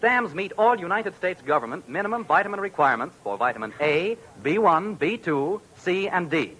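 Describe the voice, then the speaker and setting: A man's voice: high-pitched, sing-song, moderate pace, fairly bright tone, poor audio. A man reading a 1940s radio advertisement as announcer, with a polished, emphatic sales delivery. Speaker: commercial announcer.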